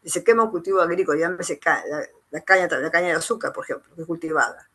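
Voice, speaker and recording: A woman's voice: on the low side, fast, bright, forceful tone, husky. A woman with a Peruvian accent giving an informal lecture as an instructor, repeating phrases for emphasis and adding short tag questions. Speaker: engineer giving a training lecture.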